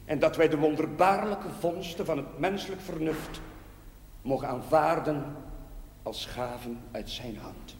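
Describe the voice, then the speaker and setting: An actor playing a devout village priest in a television drama, preaching solemnly in measured, emphatic cadences. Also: male voice, on the high side. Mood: solemn, tender. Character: devout male village priest bidding his parish farewell. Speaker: parish priest. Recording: echoey church hall reverb, background hiss.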